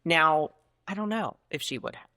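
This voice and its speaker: A woman's voice: sits low, lively intonation, moderate pace, bright, forceful tone, dry recording, clean studio sound. A woman in her fifties, a nonprofit founder, chatting loosely in an interview, rambling and laughing at herself. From Florida, United States. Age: 50s. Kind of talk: casual interview chat.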